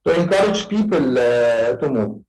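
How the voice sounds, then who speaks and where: A man's voice: medium pitch, animated, moderate pace, darkish tone, roomy sound, soft background music, low-quality recording. A man speaking as a webinar panelist who finishes his remarks hesitantly, repeating words as he goes. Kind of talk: closing remarks in a talk.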